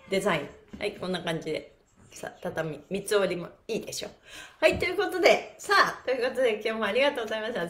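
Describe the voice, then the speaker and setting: A middle-aged woman hosting a live exercise stream in animated, cutesy and polite instructor patter. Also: mid-range voice, a little hiss or hum. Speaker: pantomime performer and stretch class host. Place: small indoor room with bare walls. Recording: roomy echo from camera mic.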